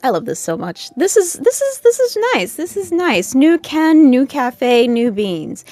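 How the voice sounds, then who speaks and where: A woman's voice: high-pitched, very animated, measured pace, darkish tone, dry recording, faint music behind. A woman co-hosting a casual livestream in an upbeat, teasing way, reading out new members' names. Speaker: co-host.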